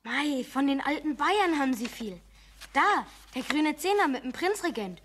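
A woman's voice: high-pitched, lively intonation, normal speed, a bit bright, slight background noise. A woman playing an eager young boy with a Bavarian accent in a children's radio play. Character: eager young boy, keen stamp collector.

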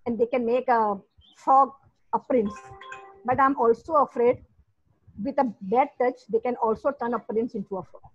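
A woman's voice: high voice, husky, no room sound. A middle-aged woman from India with an Indian English accent, a psychologist turned educator telling her story with earnest emphasis on a video call. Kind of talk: panel discussion remarks. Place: home room, indoors. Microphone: laptop webcam on video call. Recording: muffled video call audio.

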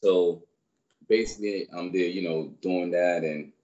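A man in his thirties from Barbados, raised in New York, telling his story as a guest in a relaxed, rambling way on a video call. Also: deep voice, measured pace, muffled recording. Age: 30s. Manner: relaxed, rambling, many fillers. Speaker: guest, personal trainer. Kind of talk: casual storytelling interview. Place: small room indoors. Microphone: video call webcam.

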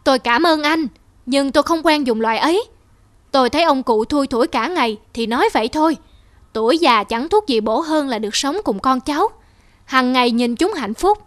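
A woman voicing a modest, kindly old man next door, plain-spoken and advising, in an animated moral drama. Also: high voice, moderate pace, no room sound, studio quality. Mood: politely firm.

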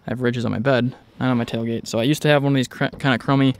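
A man's voice: medium pitch, steady tone, very fast. A man vlogging outdoors, narrating a casual walkaround in a rambling, informal way. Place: outdoors in a driveway beside a truck.

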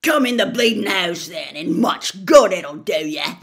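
A woman voicing a gruff, aggressive, suspicious old man in a storybook reading, loud and belligerent. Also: lowish voice, lively intonation, measured pace. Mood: bellowing.

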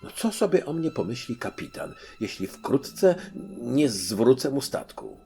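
Man playing an earnest, expressive storybook doctor. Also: mid-range voice, measured pace, faint music behind, voice pushed up. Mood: worried. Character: worried, earnest male doctor, polite and practical.

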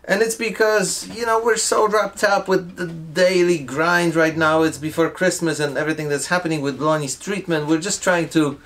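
A man's voice: a little high, fairly even intonation, normal speed, few pauses, a bit bright. A man in his forties chatting casually to camera for a daily vlog, explaining his project as he goes, often restarting sentences. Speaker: vlogger. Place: indoors, garage workshop and stores.

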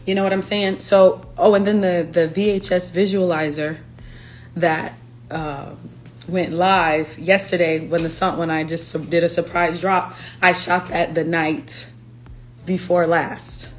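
A young woman, a singer-songwriter, chatting playfully on a livestream from her living room, her voice raspy from allergies. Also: lowish voice, level tone, measured pace, a little hiss or hum. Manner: chatty, playful, breaks into lyrics. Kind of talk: casual livestream chat. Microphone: phone camera at arm's length.

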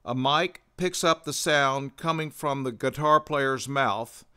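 A man's voice: mid-range voice, slow pace, bright, forceful tone, clear, resonant tone. A man presenting a tutorial in methodical, scripted explanatory narration. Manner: methodical, instructional.

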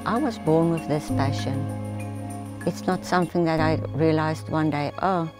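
A woman's voice: low voice, steady tone, measured pace, music in the background. A middle-aged woman, speaking as a wildlife project founder in a documentary interview. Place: outdoors in open bush, seated.

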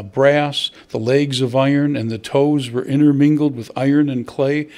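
An older man, a pastor, preaching a sermon at a church pulpit, conversational and asking his listeners questions. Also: mid-range voice. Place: church hall at a wooden pulpit. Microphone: stand mic at the pulpit.

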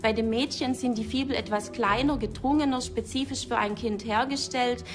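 A woman's voice: on the high side, steady tone, normal speed. A woman explaining finds as an archaeology expert in an interview. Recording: music behind the voice. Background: music.

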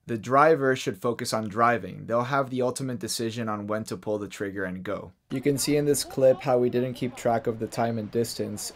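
A young man in his twenties calmly explains in scripted narration, recorded up close in a small indoor room. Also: medium pitch. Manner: calm, matter-of-fact explaining. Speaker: vlog host and sailor.

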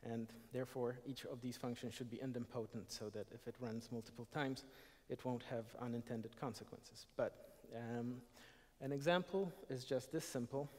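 A man's voice: medium pitch, moderate pace, a little mellow. A middle-aged man giving a technical conference talk from a podium in a large lecture hall, explaining step by step with fillers and restarts. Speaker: conference speaker. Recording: reverberant hall.

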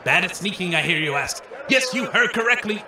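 A man's voice: sits high, animated, brisk, background noise. A man acting as a brash wasteland weapons salesman, theatrical and grandiose, in a comedy radio drama. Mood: salesmanlike. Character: brash male wasteland arms salesman showman. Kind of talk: comedy radio drama, stage announcer patter. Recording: echoey, slightly distant from the mic.

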